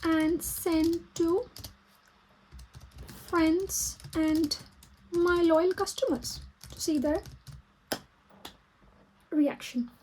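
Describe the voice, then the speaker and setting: A woman from Pakistan with a Pakistani accent and Urdu as her native language, thinking aloud haltingly in a casual planning vlog. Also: high voice, darkish tone, low-quality recording. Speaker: vlogger and small business owner. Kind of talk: casual plan-with-me vlog talk.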